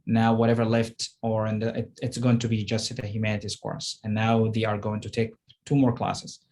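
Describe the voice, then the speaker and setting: A man's voice: lowish voice, completely flat delivery, moderate pace, a little mellow. Hesitant man explaining a program. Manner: hesitant, searching for words.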